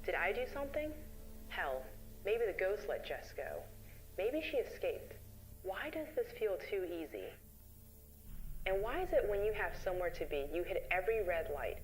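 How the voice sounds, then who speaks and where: A woman's voice: medium pitch, big pitch swings, moderate pace, lightly acted, rough recording. A woman playing a shaken investigator who narrates a horror story as a taped confession. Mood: uneasy. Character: shaken woman recounting her investigation on a tape to John. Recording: music behind the voice.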